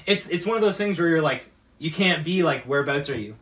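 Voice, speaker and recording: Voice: mid-range voice, animated, brisk, a bit bright. A talk show host chatting casually about games and films in an agreeable way, often chiming in.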